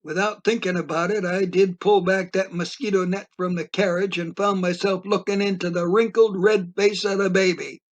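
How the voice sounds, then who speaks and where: A man playing a rambling, easily spooked beachgoer who tells his tale in dramatic, drawn-out audio play narration. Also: sits high. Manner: theatrical, drawn-out emphasis.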